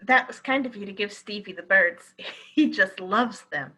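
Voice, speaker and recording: An actress in a radio play playing a loyal, spirited and protective London wife, in a theatrical style. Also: female voice, medium pitch, moderate pace, pushed and bright, a little hiss or hum, lightly acted, poor audio. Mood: affectionate, brisk.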